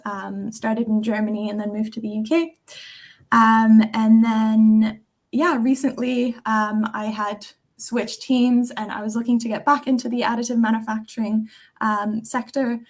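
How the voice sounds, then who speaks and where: A young woman from Singapore, a guest on a video call, answering interview questions in a friendly, relaxed way with frequent ums. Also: medium pitch, gravelly. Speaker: guest, customer success manager.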